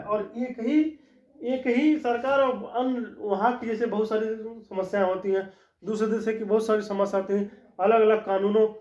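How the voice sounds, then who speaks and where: A high-pitched man lectures on law, going over each point twice and checking in with his listeners as he goes. The recording is dull and lo-fi, and his voice rings in an echoey room. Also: a little mellow. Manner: explanatory, repeats key points, frequent "ठीक" check-ins. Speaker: law teacher. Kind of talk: classroom-style lecture.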